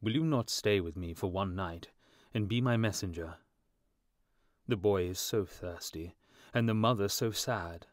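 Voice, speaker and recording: A gentle storybook prince turned statue played by a man, speaking in a low, musical voice. He is mournful and softly pleading, recorded close and dry. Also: a little slow, dry recording, close to an everyday voice. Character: sorrowful gentle statue of a dead young prince.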